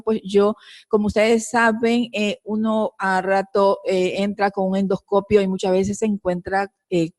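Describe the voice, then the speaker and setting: A woman's voice: medium pitch, a little mellow, dry recording. A woman from Ecuador, a pediatric neurosurgeon, presenting a case at a conference, hesitant with many fillers.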